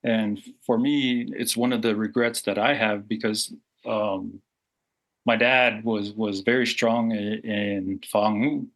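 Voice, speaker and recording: A man's voice: on the low side, steady tone, slow pace, a bit bright, poor audio. A man in his forties from Samoa, talking earnestly as an interview guest, reflective, with frequent fillers and restarts. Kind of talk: candid interview talk.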